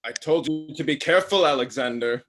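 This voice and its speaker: A man's voice: a little high, brisk, fairly bright tone, faint music behind, lightly acted, rough recording. A man playing a sly, scheming brother god with a casual, suggestive manner in a staged play reading. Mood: defensive.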